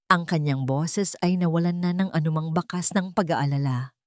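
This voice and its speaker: A woman's voice: low-pitched, normal speed, no room sound, studio quality. A woman narrating a scripted dramatic love story as a storyteller.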